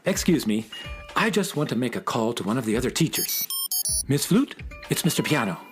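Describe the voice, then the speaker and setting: A man's voice: medium pitch, sing-song, brisk, over music, close to an everyday voice. A man playing an earnest, courteous male schoolteacher in a children's audio drama, speaking politely. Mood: polite, calm.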